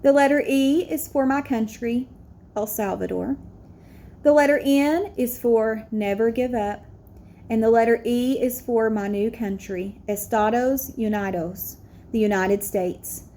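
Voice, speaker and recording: Proud young immigrant boy narrator, read by a woman, earnest storybook delivery, higher register, slightly noisy recording. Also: a little slow, warm tone. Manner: earnest, declarative. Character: proud, determined young immigrant schoolboy telling his story.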